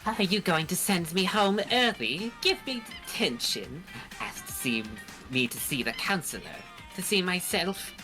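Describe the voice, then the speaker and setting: A woman's voice: on the low side, lively intonation, unhurried, pushed and bright, soft background music. A woman voicing a cruel, pompous villain creature in a game, mocking and theatrical, drawing out each taunt. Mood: haughty, sarcastic. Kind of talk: hobby voice acting of a visual novel game.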